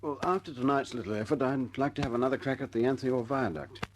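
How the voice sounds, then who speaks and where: A man's voice: medium pitch, animated, fast talker, natural voice. A man playing a loyal, eager bomber pilot with dry humour and an informal manner in a wartime radio drama. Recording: old radio recording with clear noise.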